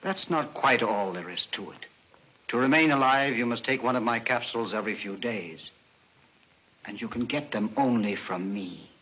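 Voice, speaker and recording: An actor playing a calculating, vengeful professor of crime, measured and politely menacing, in 1940s film serial style on an old soundtrack. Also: male voice, mid-range voice, lively intonation, bright, forceful tone, husky, rough recording. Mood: coolly menacing. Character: calculating male criminal mastermind professor, bent on revenge.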